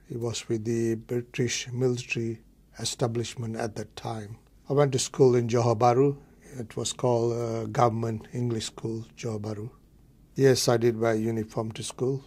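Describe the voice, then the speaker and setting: Man in his 70s from Malaysia; husky, monotone interview recollections. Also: mid-range voice. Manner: plain, matter-of-fact recollection. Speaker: oral history interviewee. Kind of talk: reminiscing interview.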